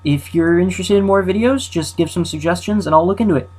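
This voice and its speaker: A man's voice: sits high, noisy background. Rambling young man hosting a countdown. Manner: rambling, halting, many fillers and restarts. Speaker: channel host. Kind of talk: casual unscripted countdown commentary.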